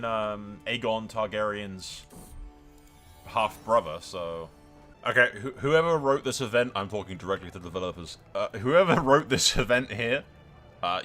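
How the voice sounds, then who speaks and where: A man hosts a gaming video in a bright, forceful voice at an average pitch. His commentary is casual and rambling, irreverent and peppered with swearing. Faint music plays behind him. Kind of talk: casual game playthrough commentary.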